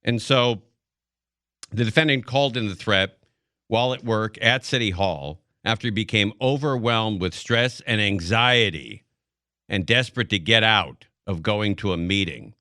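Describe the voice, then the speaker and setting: An American man hosting live radio talk, commenting casually on the news in a rambling, halting way, as if thinking aloud. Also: on the low side, expressive, sharp tone, no echo, clean studio sound. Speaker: radio talk show host. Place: radio studio. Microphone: close broadcast studio mic.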